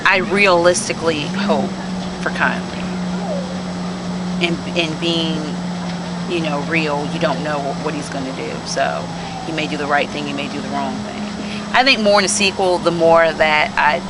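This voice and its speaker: A woman's voice: sits low, fairly even intonation, normal speed. A woman speaking casually as an author explaining characters in an interview. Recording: amateur video, noisy background.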